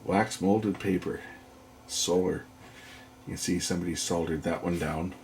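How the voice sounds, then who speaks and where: Low-voiced, gravelly man explaining repair work. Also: steady tone, moderate pace, darkish tone, slight background noise. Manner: matter-of-fact, explanatory, thinking aloud. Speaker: electronics repairer and video host. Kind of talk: unscripted hands-on repair commentary. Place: indoors at a repair workbench.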